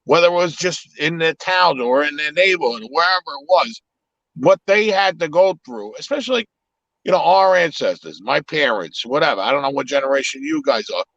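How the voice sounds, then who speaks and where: A man's voice: on the high side, lively intonation, a little fast, fairly bright tone, dry recording, lo-fi sound. A man whose first language is Italian, talking in a casual, reflective way on a live podcast, with many restarts. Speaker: podcast host.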